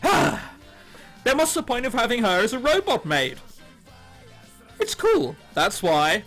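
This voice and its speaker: Animated, high-pitched man doing a jokey let's play. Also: slow pace. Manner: sweary, animated, sarcastic. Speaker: gaming YouTuber. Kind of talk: jokey visual novel let's play, reading dialogue aloud with commentary. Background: game background music.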